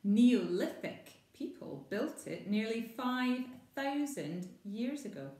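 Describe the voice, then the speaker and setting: A woman's voice: fairly high pitch, distant mic, slight background noise, voice pushed up. Woman reading as a reassuring storybook mum, echoey room. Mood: admiring. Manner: expressive, measured storybook delivery. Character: Mum, cheerful reassuring mother on holiday.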